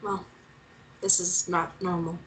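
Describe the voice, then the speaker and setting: A woman's voice: lowish voice, little pitch movement, slow pace, a bit bright, recorded from a distance, low-quality recording. A woman playing an inquisitive, plain-spoken and wry journalist in a screenplay table read. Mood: matter-of-fact. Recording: clear background noise.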